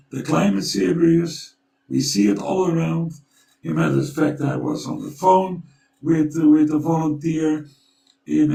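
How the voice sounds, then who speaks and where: Slow, gravelly man with a Dutch accent. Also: on the high side, dark tone, far from the mic. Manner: urgent, storytelling, repeats himself. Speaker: co-host. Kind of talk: earnest conversational appeal. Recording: echoey room, away from the mic.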